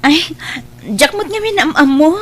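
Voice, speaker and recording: A woman's voice: sits high, big pitch swings, measured pace. A woman playing a friendly, chatty young single woman in an audio drama. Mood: friendly, surprised. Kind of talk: Ilocano radio-style audio drama acting.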